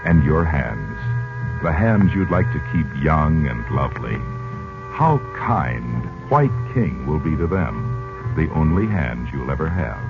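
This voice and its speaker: A man announcing and narrating in theatrical, salesmanlike 1940s radio style. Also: a bass voice. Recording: muffled vintage radio recording.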